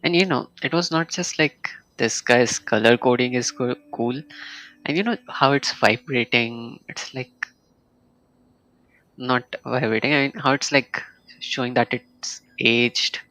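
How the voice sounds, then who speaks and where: A man's voice: medium pitch, a little slow, bright, forceful tone, no echo, poor audio. A man with an Indian accent giving casual, playful, reactive live commentary as he streams a game.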